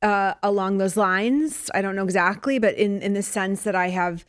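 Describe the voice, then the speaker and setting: A woman's voice: mid-range voice, normal speed. A woman hosting a podcast, asking questions in a casual, attentive way and encouraging her guest with frequent mm-hmms. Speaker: podcast host. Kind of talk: casual interview chat.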